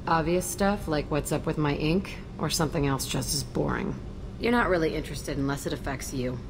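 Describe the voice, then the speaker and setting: A woman's voice: sits low, a little fast, vocal fry, noisy background. An actress playing a tough, cynical young female ex-convict in a video game, curt, abrasive and sardonic. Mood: guarded, defiant. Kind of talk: video game character acting.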